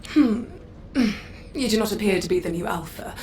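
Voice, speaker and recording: An actress playing a haughty, cold faction envoy in a video game, speaking in an imperious, condescending, formal way. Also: female voice, mid-range voice, normal speed, a little mellow, slight background noise, close to an everyday voice. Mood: cold disdain. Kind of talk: scripted game dialogue. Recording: faint game ambience behind voice.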